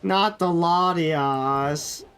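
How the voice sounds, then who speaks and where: A man's voice: a little high, extremely slow, fairly bright tone, clean tone. A man streaming a game while sick with a cold, sounding nasal and chatting loosely with viewers, often correcting himself. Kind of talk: casual live-stream chat.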